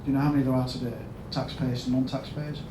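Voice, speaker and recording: A man with a Yorkshire accent giving a storytelling conference talk as a health service chief executive, asking the audience questions and rising to loud emphatic bursts. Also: mid-range voice, little pitch movement, fast. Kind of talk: storytelling keynote speech. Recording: echoey hall, mic at a distance, background noise.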